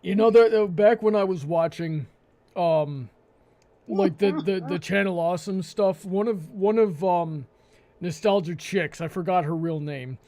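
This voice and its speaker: A man hosting a live panel discussion, with a hesitant, halting delivery that often stammers and repeats words. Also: high voice, warm tone. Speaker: host.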